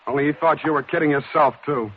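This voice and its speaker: A dull, lo-fi old radio recording of a man acting a hard-boiled American private eye. He talks fast and brusquely, with a cynical, wisecracking edge in classic 1940s radio drama fashion. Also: a little high, background noise, natural voice. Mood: bitter, scornful. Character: wry, hard-boiled male private detective.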